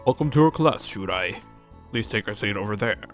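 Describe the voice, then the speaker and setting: A man's voice: fairly low pitch, animated, a little fast, lightly acted. Welcoming male teacher read by a man, formal classroom announcer for a visual novel, faint music underneath. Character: friendly male schoolteacher welcoming a new student.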